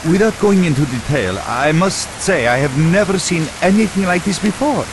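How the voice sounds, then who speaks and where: An actor playing a learned scientist in a video game, speaking in formal, precise and wordy phrases. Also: male voice, high-pitched, expressive, normal speed. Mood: amazed, puzzled. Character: astonished, learned male scientist-doctor. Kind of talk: video game character acting. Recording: hissy, noisy ambience behind.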